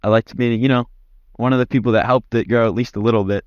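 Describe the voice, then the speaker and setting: A man's voice: medium pitch, fairly even intonation, quick pace, dry recording, lo-fi sound. A man giving casual interview answers in a blunt, offhand way, swearing freely. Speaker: interview guest, former commander in an online roleplay community.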